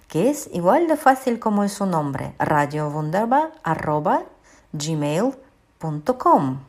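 A woman's voice: mid-range voice, darkish tone. A woman presenting a student radio segment as its host.

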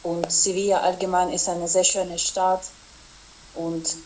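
A woman's voice: on the low side, level tone, measured pace, a little mellow, a little hiss or hum, poor audio. A young woman whose first language is Russian, an exchange student giving hesitant, casual answers in a student interview with many fillers. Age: young adult.

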